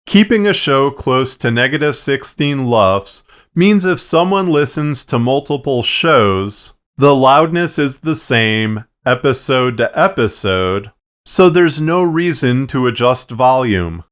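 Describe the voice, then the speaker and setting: A man narrating a tutorial in a measured, plain-spoken, instructional way, explaining his production process step by step. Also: medium pitch, lively intonation, fairly bright tone, clean tone. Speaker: fiction podcast producer and narrator. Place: acoustically treated recording space.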